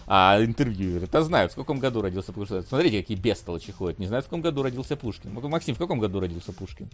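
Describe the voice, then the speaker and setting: A man's voice: lowish voice, lively intonation, racing. A man co-hosting a casual film discussion podcast, rambling with many fillers and restarts and laughing often. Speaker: podcast co-host.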